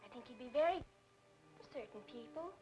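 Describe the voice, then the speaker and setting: A high-voiced, earnest young sister. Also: female voice, expressive, a little fast, darkish tone, faint music behind, poor audio. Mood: earnest, coaxing. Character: earnest, infatuated younger sister, presumptuous and naive. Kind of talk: 1940s film noir acting.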